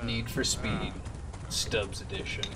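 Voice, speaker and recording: A man's voice: medium pitch, fairly even intonation, slow. A man in his twenties giving casual commentary as he streams a game. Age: 20s. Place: indoor room. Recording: distant mic under loud game music. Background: loud game music and vehicle noise.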